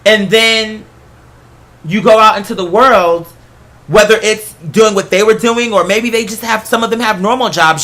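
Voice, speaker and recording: A solo talk host with a bright, forward voice at a middling pitch. The delivery is a casual, rambling monologue, emphatic and conversational, with salty language. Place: apartment.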